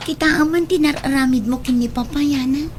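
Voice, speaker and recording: A woman's voice: high voice, fairly quick, slight background noise, natural voice. A woman playing an affectionate grown daughter in a radio drama, pleading and coaxing like a playful matchmaker.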